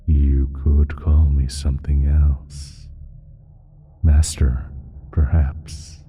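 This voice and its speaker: A man playing an ancient, evil primordial being in a slow audio roleplay, speaking deliberately in a smug, menacing, condescending way with a husky, breathy voice. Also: a bass voice, mellow, heavily acted. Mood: smug, mocking.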